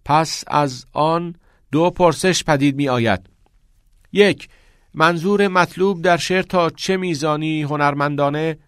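A man's voice: a little high, fairly bright tone, smooth, no room sound, clean studio sound, voice pushed up, lightly acted. A man voicing an obedient teenage schoolboy in an audiobook, speaking hesitantly as if reciting in class. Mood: dutiful reciting. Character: obedient teenage schoolboy reporting and reading aloud. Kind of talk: audiobook character voice.

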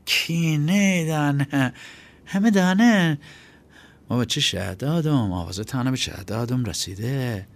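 Guy doing a nervous kid bluffing, stammery and squeaky. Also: lively intonation, creaky. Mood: reckless bluff. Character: panicky young boy bird smuggler, bluffing.

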